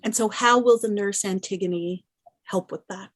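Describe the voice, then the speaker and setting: A woman's voice: mid-range voice, normal speed, no echo. A woman interviewer putting short questions to guests in a podcast conversation.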